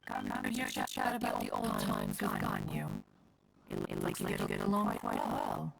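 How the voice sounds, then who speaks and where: An ancient, dignified mountain guardian from a fantasy game, with stately, old-fashioned formal diction. The delivery swings widely in pitch with a gravelly crackle, over music in distorted, stuttering audio. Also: female voice, wildly swooping pitch, moderate pace, exaggerated voice. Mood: fond, chatty. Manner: archaic, formal, stately phrasing, calling self one. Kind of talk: scripted video game dialogue.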